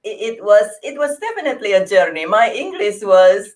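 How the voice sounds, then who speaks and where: A woman in her forties with an Indonesian accent, a guest in an interview, speaking earnestly with frequent laughs and halting restarts. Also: medium pitch, big pitch swings. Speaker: interview guest, grief coach. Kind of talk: conversational interview.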